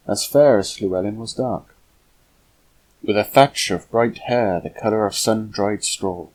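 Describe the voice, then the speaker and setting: A man with a husky voice reading a historical novel aloud as scripted narration. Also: fairly low pitch, darkish tone, poor audio. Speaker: amateur audiobook reader.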